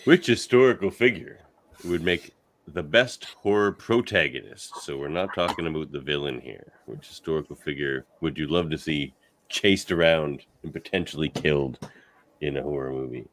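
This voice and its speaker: Casting: male panel-show host and judge, deep-pitched voice, casual, slightly halting delivery. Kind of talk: casual moderating of a comedy panel debate.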